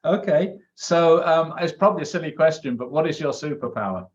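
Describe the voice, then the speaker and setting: A man's voice: fairly high pitch, lively intonation, fairly quick. Friendly male interviewer, slightly muffled. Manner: friendly, hesitant with fillers and restarts, laughs lightly. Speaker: interviewer for a professional network. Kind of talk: interview conversation. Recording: dull lo-fi video-call sound.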